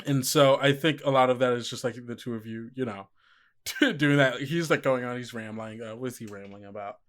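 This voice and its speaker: A man's voice: medium pitch, fairly even intonation, brisk, fairly bright tone. A man casually improvising as game master of a podcast tabletop game, thinking aloud and often putting questions to his player.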